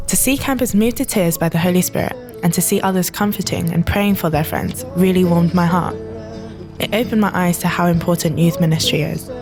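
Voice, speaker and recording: A young woman, a youth camp leader, narrating a reflective voice-over about camp in an earnest way. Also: lowish voice. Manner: earnest, reflective, laughs lightly.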